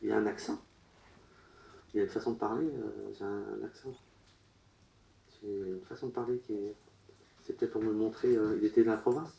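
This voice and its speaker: Gravelly low-voiced man in a roomy space. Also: fairly even intonation, warm tone. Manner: halting, relays messages in short broken phrases. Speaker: medium. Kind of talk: public séance reading. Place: public séance room. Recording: roomy echo.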